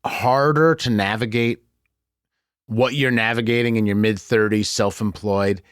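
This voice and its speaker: A man's voice: fairly low pitch, steady tone, unhurried, smooth, no echo. American man hosting, close dry sound. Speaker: podcast host. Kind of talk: conversational interview.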